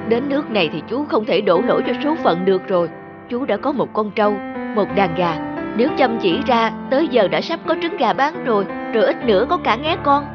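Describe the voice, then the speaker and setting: A woman voicing a responsible, stern village elder brother in an animated folk tale, firm and admonishing. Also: on the high side, fairly quick, muffled recording, close to an everyday voice. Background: background music.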